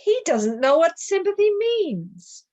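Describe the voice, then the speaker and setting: A woman's voice: extremely high pitch, big pitch swings, slow pace, a little mellow, full character voice. A British woman acting a devoted but disapproving genteel Victorian lady in a radio play, expressively. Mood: indignant.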